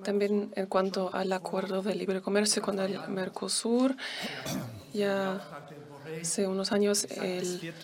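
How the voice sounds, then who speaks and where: Woman, live interpreter, very slow pace, gravelly vocal fry, halting, formal delivery. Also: mid-range voice, level tone, crowd noise.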